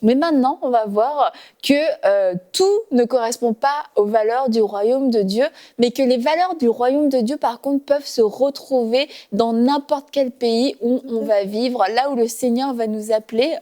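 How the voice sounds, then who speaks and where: A woman hosting a faith talk show from a studio set, chatty and warm with laughter, using many fillers. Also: a little high, a little slow, few pauses.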